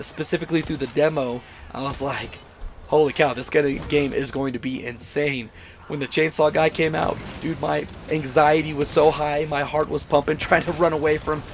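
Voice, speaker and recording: Guy w a wrecked hoarse voice doing a game review, kinda high pitched, little background hiss, super excited and rambly. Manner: enthusiastic, rambling, self-correcting. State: hoarse, voice mostly gone after illness. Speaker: gaming channel host.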